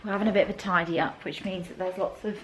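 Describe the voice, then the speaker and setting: A woman's voice: on the low side, fairly even intonation, fast, heavy background noise. A British woman talking casually to her camera, chatty and self-mocking. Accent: British English. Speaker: vlogger. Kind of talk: casual vlog chat to camera.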